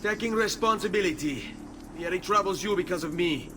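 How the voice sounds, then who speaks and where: A male actor as a high-voiced young Italian nobleman. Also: a little fast, background noise, close to an everyday voice. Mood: resolute. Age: young adult. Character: earnest young Italian nobleman learning to be an assassin. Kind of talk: dramatic video game acting.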